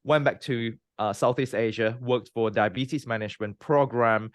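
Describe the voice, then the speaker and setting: A man in his thirties from Malaysia, a scientist guest explaining his career in a measured way with frequent "um" and "you know". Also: mid-range voice, no room sound. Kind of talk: interview, recounting his career.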